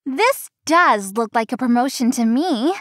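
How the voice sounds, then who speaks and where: A woman playing a gentle, dutiful young daughter in a visual novel. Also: sits high, lively intonation, measured pace, no room sound, clean studio sound. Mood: playful. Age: young adult.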